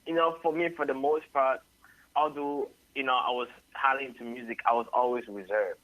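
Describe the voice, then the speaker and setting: A Ghanaian singer-songwriter answering radio interview questions at length, often adding "you know". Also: male voice, medium pitch, fairly even intonation, brisk, a bit bright, no echo, low-quality recording. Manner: rambling, tags sentences with "you know". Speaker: singer-songwriter and label head.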